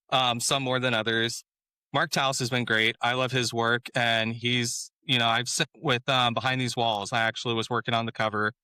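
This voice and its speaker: A man's voice: mid-range voice, pushed and bright, clear, resonant tone, dry recording. A man being interviewed as an author, talking in a laid-back way and chuckling often. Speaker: author guest. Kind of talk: casual interview chat.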